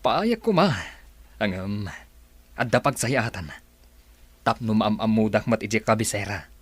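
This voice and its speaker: A man's voice: on the low side, expressive, a little slow, breathy edge, no room sound. A man playing an earnest, nervous boss in an audio drama, speaking hesitantly. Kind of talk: Filipino audio drama acting.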